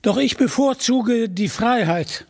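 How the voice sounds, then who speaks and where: A bold young outlaw hero from an adventure audiobook, acted out by a male reader. He is high and husky, speaking in defiant, resolute declarations with unhurried weight. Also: expressive, exaggerated voice. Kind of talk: dramatised audiobook narration.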